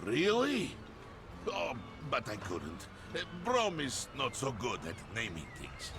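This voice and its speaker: A man's voice: medium pitch, wildly swooping pitch, slow pace, exaggerated voice. An actor playing a big, good-natured, modest male warrior in a video game, speaking hesitantly. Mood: bashful. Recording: music behind the voice.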